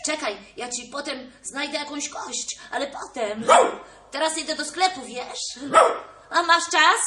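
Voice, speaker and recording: A woman's voice: sits high, a little slow. A woman playing a sweet, eager young boy bear in a children's play, with an exclamatory, wheedling manner. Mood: tender. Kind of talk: children's musical theatre dialogue. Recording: roomy, distant, clearly noisy stage recording.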